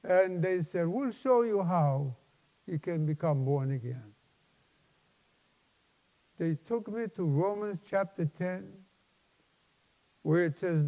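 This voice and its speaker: A man in his seventies, a pastor preaching a personal testimony in an emphatic, halting, folksy way. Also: high voice, darkish tone. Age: 70s. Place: large church sanctuary, at a lectern. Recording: long hall reverb.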